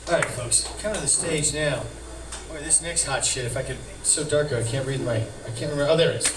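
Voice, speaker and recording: A man's voice: medium pitch, brisk. Chatty male comedy show MC, echoey room. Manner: chatty, scattered announcing. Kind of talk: live introductions of comedians. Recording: echoey, noisy room, far from mic.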